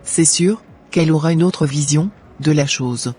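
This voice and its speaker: A voice actor playing husky young churchgoer Nadège. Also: female voice, low-pitched, unhurried, dark tone, soft background music. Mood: earnest. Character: young woman named Nadège, troubled churchgoer.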